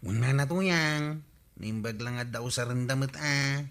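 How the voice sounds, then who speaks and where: A man's voice: medium pitch, measured pace, a bit bright. A man playing a genial, easily surprised village helper in an Ilocano radio comedy, folksy and questioning, with an Ilocano accent. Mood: friendly.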